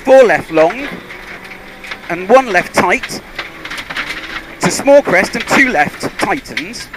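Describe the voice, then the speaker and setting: A man's voice: high voice, expressive, slow. A man working as a rally co-driver, reading pace notes in clipped, urgent bursts over a car intercom. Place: inside a rally car on a forest stage. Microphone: helmet intercom headset. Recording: car intercom with loud engine and vehicle noise.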